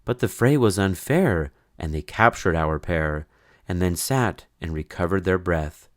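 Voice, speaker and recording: A man reading a children's story in verse aloud, with an expressive, measured, sing-song delivery. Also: deep voice, no room sound, studio quality. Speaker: storybook reader.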